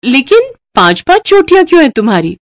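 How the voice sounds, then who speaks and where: A kind and protective young bride, acted by a female narrator in a cartoon story. She speaks high and earnestly, with a caring, sisterly manner. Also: big pitch swings, quick pace. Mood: curious.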